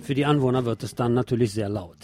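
A man's voice: medium pitch, fast talker. A man reading scripted news as a radio presenter. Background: music behind the voice.

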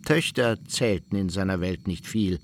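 A man's voice: low voice, normal speed, slight background noise. An actor playing a dry, scornful small-town man telling local gossip in a radio play. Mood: sardonic. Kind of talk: radio drama storytelling.

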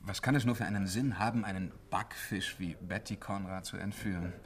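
A man's voice: low voice, fairly quick, a little hiss or hum, close to an everyday voice. An actor playing an affable, deferential businessman in a 1950s German radio play, chatty and a little hesitant. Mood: earnest, doubtful. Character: affable, eager, polite businessman making small talk.

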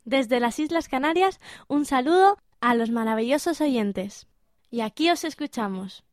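A woman's voice: sits high, expressive, moderate pace, darkish tone, no echo, studio quality. A woman announcing a radio station ident and greeting listeners. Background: guitar music.

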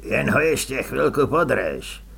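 A man voicing a threatening male street thug in a storybook, gruff and menacing. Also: medium pitch, big pitch swings, a bit bright, heavily acted.

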